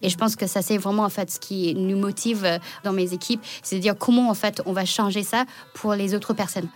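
A woman in her late twenties, raised in the United States, speaking haltingly with many hesitations as a podcast guest. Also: mid-range voice, steady tone. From China, raised in the United States. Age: late 20s. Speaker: guest, social start-up founder. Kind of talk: podcast interview.

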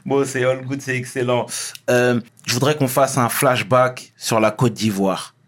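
A man's voice: mid-range voice, steady tone, measured pace. A man hosting an interview, asking questions and encouraging his guest with frequent small acknowledgements. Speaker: interview host. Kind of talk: conversational interview.

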